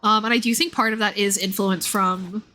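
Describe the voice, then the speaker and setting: A woman's voice: medium pitch, fairly even intonation, normal speed, sharp tone, a little hiss or hum. A woman streamer giving casual, chatty live commentary and explanations of a game's story. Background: music.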